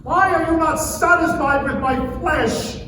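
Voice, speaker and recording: A man's voice: extremely high pitch, unhurried, crowd noise. A man in a dramatic scripture reading, playing a wronged sufferer who pleads and protests in an impassioned, declamatory way. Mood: pleading. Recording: very echoey, far-off mic, noisy live room.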